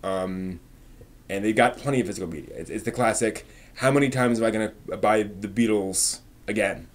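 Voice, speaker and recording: A man's voice: lowish voice, moderate pace. Man casually rambling on a live stream. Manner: informal, rambling, thinking aloud.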